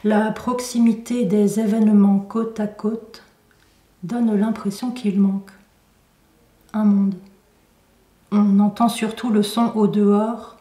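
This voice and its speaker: A woman recites poetry at a slow, deliberate pace, pausing between short phrases. Her tone is mellow and dark. The room echoes around her voice. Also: medium pitch, level tone. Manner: measured, deliberate phrasing with pauses. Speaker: poet reading own work. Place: indoor room at a poetry venue. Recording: roomy echo, recorded from a distance.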